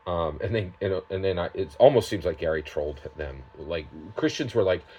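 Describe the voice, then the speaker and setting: A man giving unscripted, opinionated commentary as a tabletop gaming vlogger, emphatic and halting, often tagging his points with a questioning word. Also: sits low, lively intonation, mellow, slightly rough, muffled recording. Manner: emphatic, halting delivery with repeated tag questions.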